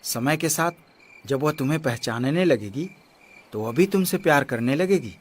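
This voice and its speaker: A man playing a calm, reassuring young husband in a Hindi cartoon moral story, speaking earnestly and soothingly. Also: on the high side, moderate pace. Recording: music under the voice.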